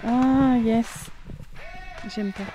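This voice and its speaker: A woman speaking as a travel vlogger, in casual, expressive, slangy chat. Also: on the high side, very slow.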